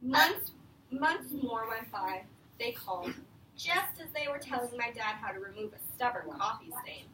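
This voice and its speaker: A woman with a high voice reads aloud as a teacher to a group of young children. She is expressive and patient, stopping to encourage them with questions. The sound is roomy and picked up from a distance, with a little noise behind it. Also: moderate pace. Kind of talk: animated picture-book read-aloud with questions to children. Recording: roomy, distant mic, faint background noise.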